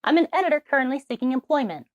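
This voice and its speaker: A young adult with a high-toned voice reading a scripted self-introduction and slate lines for a recorded editing reel, measured and deliberate. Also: very animated, narrow, tinny audio, slight background noise. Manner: read aloud, measured and scripted.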